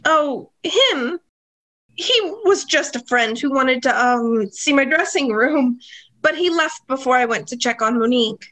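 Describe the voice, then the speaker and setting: A woman's voice: high-pitched, animated, measured pace, fairly bright tone, poor audio. An actress playing an evasive young female club performer, theatrical and breezy in a melodramatic radio play.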